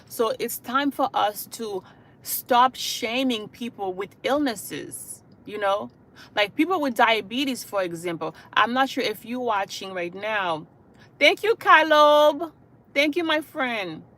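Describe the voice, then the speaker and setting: A woman's voice: on the high side, lively intonation, takes it slowly, a bit bright. A woman from Haiti who works as a hospice caregiver, talking earnestly to viewers on a live stream, often checking in with "okay?" and "you know". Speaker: hospice caregiver and channel host. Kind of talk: livestream talk to viewers.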